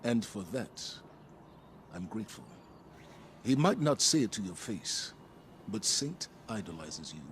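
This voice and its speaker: Slow, expressive, grave commander. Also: male voice, fairly low pitch, slight background noise. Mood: grave gratitude. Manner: formal, weighty, deliberate. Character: grave, dignified commander, stern but appreciative. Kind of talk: video game dramatic dialogue.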